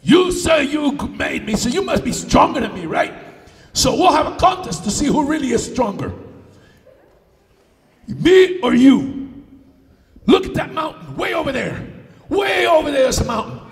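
Mean folk-tale giant played by a male storyteller, full of bluster and ego, wildly varied pitch, recorded in an echoey hall. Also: big pitch swings, moderate pace, pauses often, heavily acted. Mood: angry boasting. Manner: blustering, emphatic. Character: boastful, mean giant with magic, easily enraged, later whimpering. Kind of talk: live oral folk storytelling. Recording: echoey hall, far from the mic.

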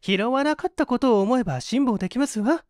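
A woman's voice: fairly high pitch, expressive, a little fast, a little mellow, no echo, clean studio sound, voice pushed up, natural voice. A woman voicing a polite, principled, hungry young woman with emphatic, old-fashioned feminine speech in a dramatised story reading. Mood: composed.

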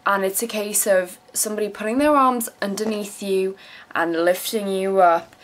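A woman's voice: medium pitch, moderate pace, poor audio. A woman in her twenties from South Africa who moved to England as a teenager, chatting frankly and casually to camera with some swearing as she answers viewer questions. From South Africa, moved to England in her teens. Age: 20s. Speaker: vlogger. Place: small room at home, indoors. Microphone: camera mic at about arm's length.

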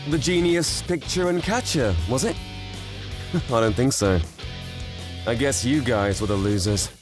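A man voicing a cocky, good-natured teenage boy in a manga dub, casual and expressive. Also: medium pitch, unhurried, music in the background, lightly acted. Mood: smug. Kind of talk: manga dub narration and dialogue.

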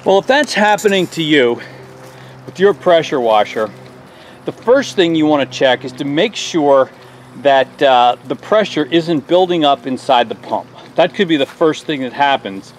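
A man's voice: on the high side, lively intonation, measured pace, soft background music. A man in his forties hosting a hands-on small engine repair tutorial outdoors on a lawn, explaining each step in a plain, folksy way.

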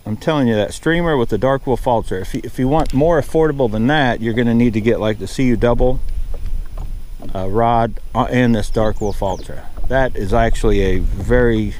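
A middle-aged man chatting casually as he fishes from a small boat on a river, rambling along and getting excited when a fish bites, recorded on an action camera outdoors. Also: mid-range voice, normal speed, a little hiss or hum. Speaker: fishing vlogger. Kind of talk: casual running commentary while fishing. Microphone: body-mounted action camera.

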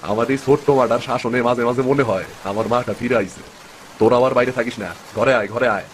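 A man's voice: medium pitch, a little fast, a little hiss or hum, close to an everyday voice. A man playing a poor, good-natured village fisherman and father in a cartoon, speaking plaintively with a rural eastern Bengali accent. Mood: meek. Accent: rural eastern Bengali (Bangladeshi). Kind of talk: dramatic cartoon story dub.

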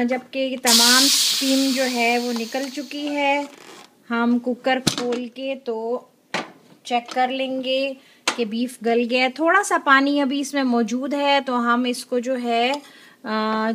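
Slow, high-voiced cooking host. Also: female voice, fairly bright tone, a little hiss or hum. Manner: unhurried, with frequent filler pauses. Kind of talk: step-by-step cooking instructions. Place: home kitchen.

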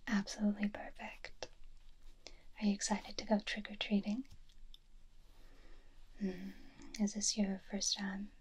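A young woman performing an ASMR roleplay as an affectionate, teasing superhero wife, speaking softly and intimately close to the microphone. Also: mid-range voice, monotone, slightly rough. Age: young adult. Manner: soft, tender, slow and intimate, teasing. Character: affectionate, playfully bossy superhero witch doting on her husband. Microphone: close binaural ASMR microphone.

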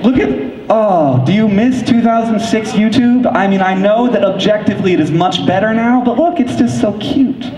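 A man giving a keynote talk on stage in a large convention hall, fast and enthusiastic with lots of "like"s, speaking into a handheld mic. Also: sits high, lively intonation, crowd noise. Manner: fast, enthusiastic, filler-heavy with frequent likes. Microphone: handheld microphone. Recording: echoey hall from far off.

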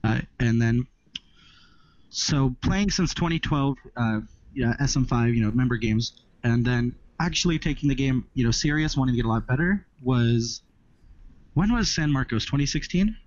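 A man's voice: medium pitch, moderate pace, no echo. Easygoing young man on muffled audio. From Southern California. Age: 20s. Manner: rambling, restarts phrases, easygoing. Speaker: podcast guest. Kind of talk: casual podcast chat.

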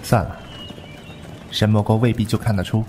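A man playing a calm, commanding martial-arts lord in a wuxia audio drama, terse and composed. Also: lowish voice, moderate pace, slight background noise, natural voice. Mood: quietly tender.

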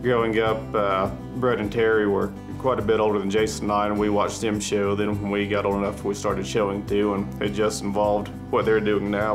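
A Texas rancher in his thirties speaks low and very level, modest and plainspoken. He sits indoors on a lapel mic. Guitar music runs underneath. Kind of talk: interview. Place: indoor ranch room, seated with children. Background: background music.

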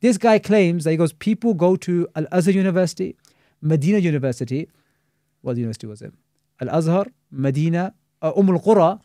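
Fast-talking man, mellow voice. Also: a little high, no echo, clean studio sound. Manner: fast, critical, peppered with Arabic religious terms. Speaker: Islamic scholar and teacher. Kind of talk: casual podcast discussion.

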